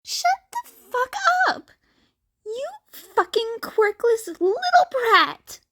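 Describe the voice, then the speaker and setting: A woman voicing a drunk, cruel mother who menaces and yells at her child in a shrill voice. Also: sing-song, drawn-out words, exaggerated voice. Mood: screaming fury. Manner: menacing, swearing, yelling.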